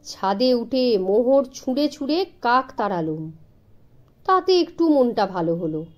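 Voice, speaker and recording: A woman's voice: on the high side, very animated, measured pace, a little mellow, lo-fi sound. A woman voicing a grand, boastful rich man in a children's story, grave and pompous in manner.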